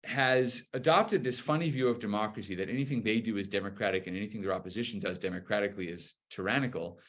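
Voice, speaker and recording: Low-voiced man explaining politics. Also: fast talker, fairly bright tone. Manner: articulate, explanatory, arguing point by point. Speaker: political commentator, guest speaker. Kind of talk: conversational political commentary.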